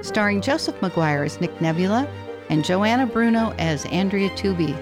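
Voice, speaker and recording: Woman announcer over loud theme music. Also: mid-range voice, expressive, moderate pace. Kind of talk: scripted radio drama credits announcement.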